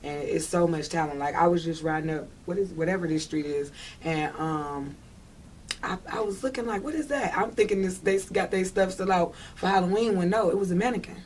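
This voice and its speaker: A woman's voice: lowish voice, fairly quick, a little mellow, slight background noise. A woman with a Detroit accent chatting casually as an interview guest, talking in an emphatic, slangy way and often checking that the listener follows. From Detroit, United States.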